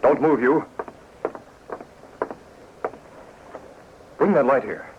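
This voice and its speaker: An actor playing a decisive masked crime fighter with an American accent, giving clipped orders in a 1940s radio drama. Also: male voice, medium pitch, a little fast, close to an everyday voice. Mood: brisk, commanding. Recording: noisy, muffled 1940s radio recording.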